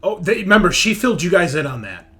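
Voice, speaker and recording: A man's voice: high-pitched, very animated, quick pace, a crowd in the background. A man narrating a tabletop roleplaying game as game master, conversationally, often checking in with his players. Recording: noisy background ambience.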